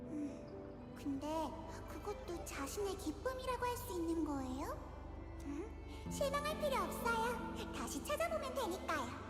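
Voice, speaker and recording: A woman voicing a gentle, curious little girl in a video game, polite in manner, with a reverb effect. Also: extremely high pitch, sing-song, rapid-fire. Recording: echoey reverberant hall, music behind.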